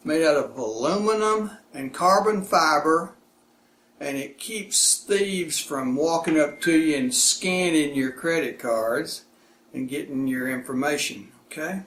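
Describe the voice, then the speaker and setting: A man's voice: on the high side, fairly bright tone. A man hosting a casual unboxing demonstration, speaking in short, plain, folksy phrases. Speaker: product reviewer and video host. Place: indoors, echoey room. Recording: echoey room with clear background noise.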